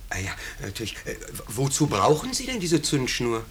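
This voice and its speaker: An actor in a 1950s German radio drama playing a pompous, nervous householder who is eager to please, blustering and stammering when uneasy. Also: male voice, mid-range voice, wildly swooping pitch, moderate pace, fairly bright tone, slight background noise.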